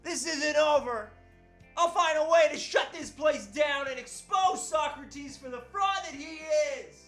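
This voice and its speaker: An earnest husband on an obsessive crusade, acted by a man at a comedy table read. He is melodramatic and determined, with very animated pitch. Faint music plays behind the voice. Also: a little slow, exaggerated voice. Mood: defiant, melodramatic.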